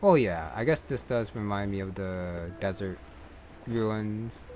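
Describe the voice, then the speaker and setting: Low-voiced man commentating on a game. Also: slow, background noise. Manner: rambling, thinking aloud. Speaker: gaming streamer. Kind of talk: casual gameplay commentary. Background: game music.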